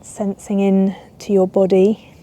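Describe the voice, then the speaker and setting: A woman yoga teacher guiding a relaxation in a soothing, unhurried way with long pauses. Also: mid-range voice, monotone, mellow. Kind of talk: guided yoga nidra relaxation. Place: outdoors in a garden. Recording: outdoor ambience with birdsong. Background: birds and garden ambience.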